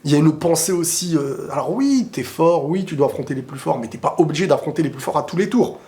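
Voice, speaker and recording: A man with a cold and a sore throat, talking casually and excitably about football to his viewers, often rambling into quick asides. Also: a little high. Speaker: football video creator answering viewer questions. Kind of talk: casual opinionated football talk to camera.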